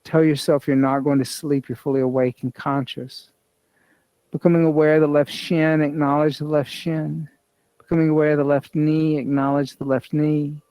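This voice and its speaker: Higher-pitched man guiding a meditation, dry sound. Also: little pitch movement, dark tone, clear, resonant tone, no echo, rough recording. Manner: repetitive, measured instructional phrasing. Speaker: yoga nidra instructor. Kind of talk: guided meditation.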